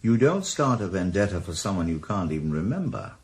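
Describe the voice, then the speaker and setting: A man's voice: low-pitched, big pitch swings, a little fast, a little mellow. An actor with a Welsh accent playing a retired male ex-detective who probes with terse, dry questions in a 1980s radio drama. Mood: probing.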